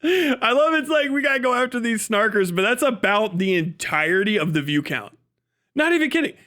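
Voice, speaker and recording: A man's voice: high-pitched, animated, brisk, pushed and bright. A man giving casual livestream commentary in an emphatic, rambling, profane way. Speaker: streamer.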